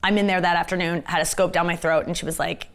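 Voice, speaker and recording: A woman comedian with a husky, gravelly voice and strained vocal cords, chatting fast and candidly in an interview. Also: lowish voice, flat delivery, fairly bright tone. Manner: rapid, candid, self-deprecating talk with many fillers. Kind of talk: casual fast-talking interview chat.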